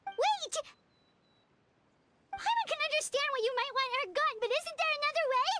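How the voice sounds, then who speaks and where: A woman voicing a tiny, excitable, childlike fairy companion in a video game, squeaky, loyal and pleading in exclamations. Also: moderate pace, noisy background. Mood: urgent pleading. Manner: exclamatory, speaks of herself in third person. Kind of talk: animated game character acting.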